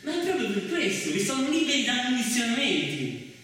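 A man with a high, bright voice and a Roman accent delivers an expressive stage monologue, sometimes half sung. He is heard at a distance in a very reverberant hall. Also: fairly quick. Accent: central Italian, Roman. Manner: expressive, acting out several characters in dialogue. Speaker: stage actor performing his own one-man play. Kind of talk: theatrical monologue with sung passages. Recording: very echoey hall, distant mic, faint music.

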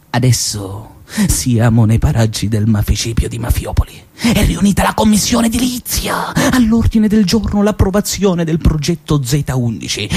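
A mocking Sicilian radio announcer, played by an actor, high-voiced and swooping, half reciting and half singing his satire. His voice rises and dips constantly as he announces in a stagey, sing-song way. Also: a little mellow, husky. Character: sardonic young male radio announcer mocking local bosses. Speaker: actor playing a pirate-radio host. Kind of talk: theatrical radio monologue. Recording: theatre stage recording.

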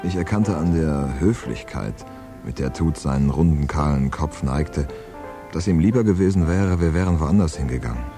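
An actor playing a laconic, world-weary hard-boiled man in a radio crime play, understated and deadpan. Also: rumbling low, moderate pace, over music. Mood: deadpan, reflective. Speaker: narrator and lead character in a radio crime play. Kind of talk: scripted hard-boiled first-person narration and dialogue.

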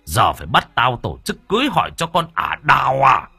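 Guy doing angry dad troupe boss in audio drama, ranting, voice husky and jumping way up high. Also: huge pitch swings, a little fast, sharp tone, soft background music, heavily acted. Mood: enraged, scornful. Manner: ranting, cursing, repeating himself in rage. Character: furious domineering middle-aged father, opera troupe boss. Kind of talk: acted dialogue in audio storytelling.

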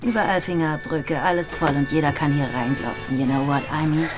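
A woman's voice: low-pitched, fairly quick, over music, lightly acted. A woman acting a hard-boiled, condescending sex worker in her twenties in a German radio drama, mocking and bossy. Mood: scornful. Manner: mocking, street-tough, bossy.

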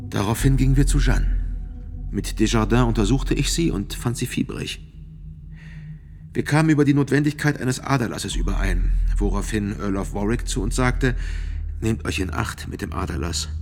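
An actor playing a sober physician who gives measured, precise testimony as a witness in a radio drama. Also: male voice, medium pitch, gravelly, a little hiss or hum.

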